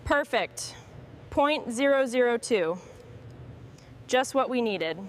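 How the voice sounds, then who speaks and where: A woman with a high voice gives terse, step-by-step remarks on a machinery restoration job. There is clear ambient noise behind her short phrases. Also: expressive, slow pace. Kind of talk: short work commentary during restoration.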